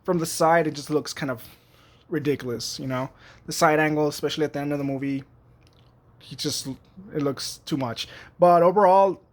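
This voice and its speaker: Man, high-ish voice, casual reviewer talking from his desk; rambling, full of "um" and restarts, blunt and excited. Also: moderate pace. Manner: rambling, frequent fillers and restarts; enthusiastic, blunt opinions. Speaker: YouTube reviewer. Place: at home at his computer.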